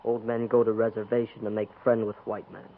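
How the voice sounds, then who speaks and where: A man's voice: lowish voice, flat delivery, a little fast, lightly acted. A narrow, noisy 1950s radio recording carries a young band leader, acted by a man. He is proud and defiant, making terse, solemn pronouncements in stilted English. It is declamatory western radio acting.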